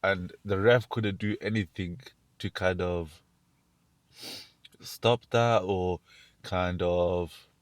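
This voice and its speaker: A man, sounding croaky from being ill, hosting his own show and rambling casually about wrestling with frequent restarts. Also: sits low, fairly even intonation, takes it slowly, pauses often, no room sound. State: croaky from illness. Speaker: show host. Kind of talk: casual wrestling commentary monologue.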